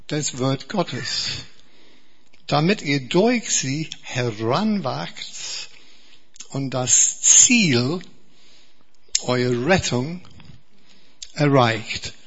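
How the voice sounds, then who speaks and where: An Englishman preaching in German, a second language learned alongside his native English, speaking slowly and earnestly with many repetitions and restarts. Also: mid-range voice, a bit bright, slightly rough, dull audio. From England. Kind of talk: sermon.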